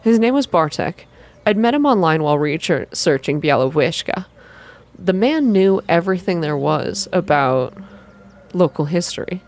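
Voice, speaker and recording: A woman reading a story aloud in an ASMR style, with expressive, deliberate first-person narration. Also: fairly low pitch. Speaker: reader of a visual novel. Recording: soft music behind the voice. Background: background music.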